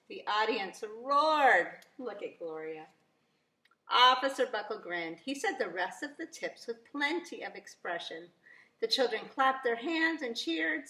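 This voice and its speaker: A woman reading a picture book aloud to young children as a teacher, very expressively, with drawn-out emphasis. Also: on the high side, pushed and bright. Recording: roomy, echoing sound.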